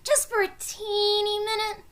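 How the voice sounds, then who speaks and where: A woman's voice: extremely high pitch, monotone, measured pace, a bit bright, pitched above the performer's own voice. A woman voicing a bold, eager young girl who exclaims and calls out in a children's storybook. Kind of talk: children's storybook read-aloud.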